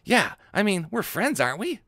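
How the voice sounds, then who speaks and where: A man puts on a junior high boy from a comic book. The voice sits higher than a grown man's talk and moves in a lively way. He sounds aloof and reluctant to get involved. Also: moderate pace, no echo, exaggerated voice.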